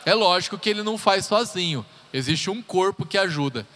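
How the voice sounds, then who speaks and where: Pastor, man in his thirties, relaxed and joking from the pulpit; slightly high voice, live hall reverb. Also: fairly quick. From Brazil. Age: 30s. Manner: chatty and joking, talks straight to the congregation. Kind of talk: sermon introduction, personal stories. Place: church hall.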